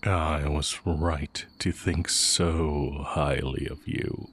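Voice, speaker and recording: Man playing a very deep-voiced, unhurried dark mage. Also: fairly even intonation, slight background noise, heavily acted. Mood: solemn, intimate. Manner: measured, formal, deliberate phrasing. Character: heretic dark mage, male former teacher, tender and sly. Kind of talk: romantic audio roleplay, intimate scripted acting.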